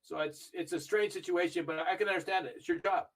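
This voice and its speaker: An older man who grew up in Argentina, telling stories in a chatty, animated way as a podcast interview guest. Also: high-pitched, quick pace, creaky, recorded from a distance. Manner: chatty storytelling, animated when recalling matches. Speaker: interview guest, video review manager.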